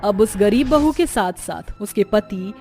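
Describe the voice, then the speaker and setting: A woman's voice: mid-range voice, animated, a little slow, warm tone, faint music behind. A woman storyteller reading a scripted moral story as narration.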